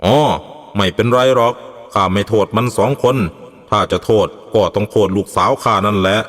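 A man voicing the forgiving, plain-spoken ghost of an old villager in an animated story, unhurried. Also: on the low side, big-room sound, pitched below the performer's own voice, lightly acted. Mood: forgiving, grumbling.

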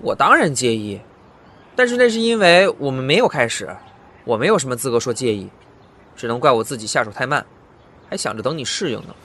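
A man's voice: mid-range voice, very animated, brisk, a bit bright. A man acting a patient young suitor in an audio drama, coaxing and half-teasing.

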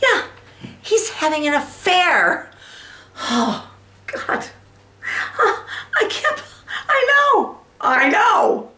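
A woman's voice: very high-pitched, wildly swooping pitch, drawn-out words, pauses often, bright, forceful tone, pitched above the performer's own voice, heavily acted. A woman playing a brash, wounded middle-aged wife in a comic dramatic monologue, melodramatic, volatile and emphatic. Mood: distraught. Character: brash, wounded middle-aged wife, betrayed after twenty-five years. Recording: echoey room.

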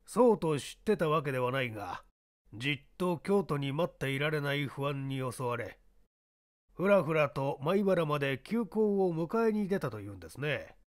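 A man playing a shrewd, self-assured baseball scout in a mystery story reading, explaining himself in a measured, worldly way. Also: fairly high pitch, big pitch swings. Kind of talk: radio-drama style mystery reading.

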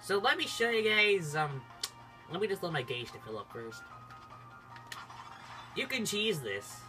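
A gamer hosting a casual playthrough, talking in a rambling, halting way and often cutting into their own sentences. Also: mid-range voice, sing-song. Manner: halting, rambling, self-interrupting. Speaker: gaming video host. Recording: game music behind the voice. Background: video game music.

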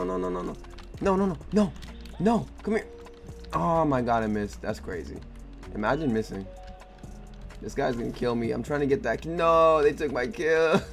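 A young man with a hoarse, worn voice giving excitable, laughing gameplay commentary full of trash talk, with faint game music behind him. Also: mid-range voice. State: hoarse, losing his voice. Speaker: gaming YouTuber.

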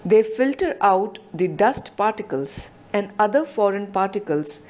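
A woman narrating a scripted technical explanation in a measured, explanatory voice-over. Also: mid-range voice, lively intonation, a little mellow.

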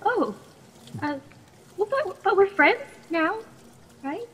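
A woman's voice: extremely high pitch, sing-song, takes it slowly, fairly bright tone, full character voice. A woman playing a plucky, nervous, chatty teenage captive in a radio play, stammering politely in a reverberant recording. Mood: uneasy. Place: video call performance. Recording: long echoing reverb, faint noise.

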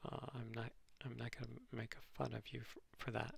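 A man with a nasal voice, hosting his channel and replying to comments in a halting, dryly sarcastic way, full of ums and restarts. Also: medium pitch, little pitch movement, vocal fry, breathy edge, dry recording. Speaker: channel host responding to comments. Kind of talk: casual commentary reading comments aloud.

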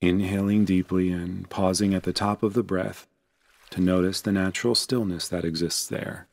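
A man narrating a guided meditation in a gentle, unhurried, soothing manner. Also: low-pitched, steady tone, gravelly.

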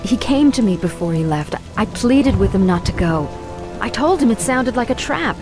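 Young game mage, female actor: higher-pitched, pleading, earnest, troubled. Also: expressive, normal speed, over music, lightly acted. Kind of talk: video game cutscene acting.

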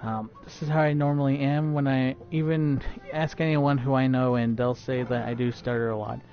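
A man's voice: mid-range voice, steady tone, normal speed. A man live streaming while he plays a game, talking casually and stuttering often with many fillers and restarts. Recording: dull lo-fi stream audio.

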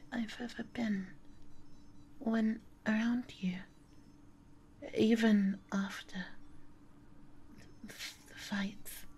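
A high, soft-toned actress playing a tearful, lonely woman in an ASMR audio drama. She is devoted and remorseful, stammering and breaking off. Her delivery is extremely slow and breathy, often sinking toward a whisper. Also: heavily acted. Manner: halting, stammering, breaking up mid-sentence. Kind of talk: ASMR audio roleplay acting.

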